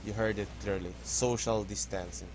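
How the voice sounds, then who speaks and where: Low-voiced Filipino-accented man, halting second-language English, casual game tutorial host. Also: fairly even intonation, very noisy. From Philippines. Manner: hesitant, halting, restarts words often. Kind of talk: casual gameplay commentary.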